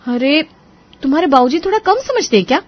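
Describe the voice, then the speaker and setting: A woman voicing a sharp-tongued, scheming young daughter-in-law in a cartoon moral story, sarcastic and emphatic. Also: sits high, expressive, brisk, lo-fi sound. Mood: indignant, exasperated. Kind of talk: animated Hindi moral story dialogue.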